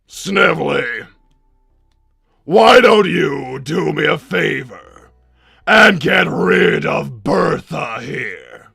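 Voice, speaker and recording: A man voicing an egomaniacal, scheming cartoon villain scientist in a theatrical, booming, shouting manner. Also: gravelly, slightly rough, exaggerated voice. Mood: menacing. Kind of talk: improvised character livestream Q&A.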